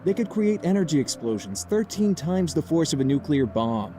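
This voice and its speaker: Grave, dark-voiced scientist father. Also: on the high side, big pitch swings, normal speed, faint music behind. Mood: grave, regretful. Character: grave, guilt-ridden scientist father, weary and earnest. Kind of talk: dramatic video game voice acting.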